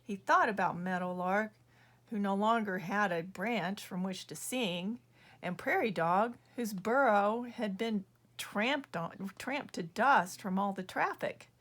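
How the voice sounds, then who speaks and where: A woman reading her own children's book aloud as an expressive storyteller. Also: mid-range voice, takes it slowly.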